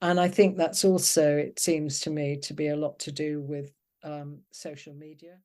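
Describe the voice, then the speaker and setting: Low-voiced older woman, reflective and hesitant. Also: flat delivery, normal speed, warm tone. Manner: thoughtful, hesitant, searching for words. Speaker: interviewed poet. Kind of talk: reflective interview conversation.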